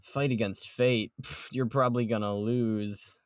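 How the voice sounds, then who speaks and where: A man's voice: medium pitch, steady tone, a little slow, no room sound, clean studio sound. A man hosting a podcast in casual group chat, rambling, joking and ready to argue. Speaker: podcast host. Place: close voice chat recording.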